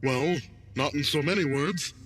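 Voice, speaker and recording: A man's voice: on the high side, unhurried, a bit bright, faint music behind. A man playing a dismissive, evasive alien intelligence official in a science fiction audio drama. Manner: dismissive, hedging.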